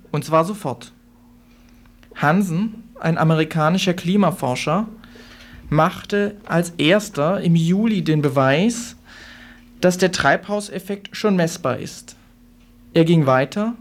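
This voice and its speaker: A man delivers a prepared radio commentary in the role of an expert. His phrasing is formal and emphatic, set out as a careful written statement. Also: high voice.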